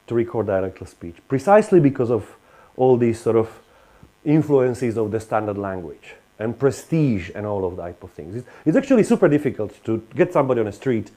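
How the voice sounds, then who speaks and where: A man's voice: medium pitch, mellow. A man with a Slovak accent gives a university lecture, talking in a hesitant way with frequent restarts and fillers. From Western Slovakia.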